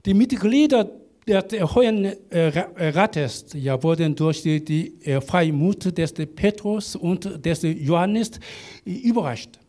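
A man preaching in German, a language he learned as an adult, halting often with fillers and restarts while an interpreter follows. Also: high voice, warm tone. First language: not German. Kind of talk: sermon with live interpreter.

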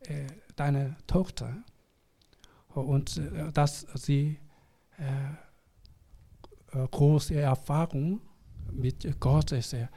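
A man leading a church service as pastor in a language that is not his first. His voice is fairly high and soft-edged. He speaks very slowly and haltingly, breaking sentences as he searches for words. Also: steady tone, creaky. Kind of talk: church service address and prayer.

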